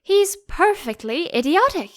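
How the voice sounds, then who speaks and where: A woman's voice: very high-pitched, very animated, a little slow, pitched above the performer's own voice, exaggerated voice. A woman voicing a curious, timid young storybook girl who is earnest, plaintive and easily exasperated.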